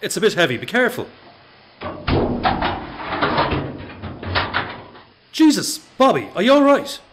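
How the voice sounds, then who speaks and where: Lively, wry Irish working man. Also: high-pitched, fast talker, very noisy. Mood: alarmed. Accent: Irish, Dublin. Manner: wry, teasing, confident. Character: cocky, dry-witted, self-assured male factory worker. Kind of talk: radio play acting.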